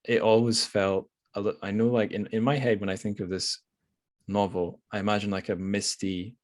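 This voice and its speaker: Low-voiced man, casual podcast host. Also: flat delivery, normal speed. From Scotland. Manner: hesitant, rambling, thinking aloud. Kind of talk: casual literary interview.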